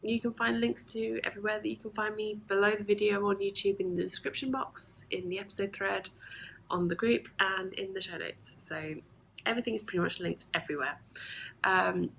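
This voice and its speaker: A woman's voice: medium pitch, steady tone, a little fast, creaky, slight background noise, low-quality recording. Sniffly woman hosting a knitting chat. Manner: chatty, with frequent ums and soft asides. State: slightly sniffly from allergies. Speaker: knitting podcast host. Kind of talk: casual video podcast chat. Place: her home. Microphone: camera mic.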